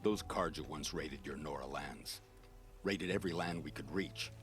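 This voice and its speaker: An actor playing a bitter, world-weary soldier captain in a video game, speaking gravely and with measured weight. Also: male voice, fairly bright tone, soft background music. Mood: resigned. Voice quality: deep, gravelly. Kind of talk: dramatic video game acting.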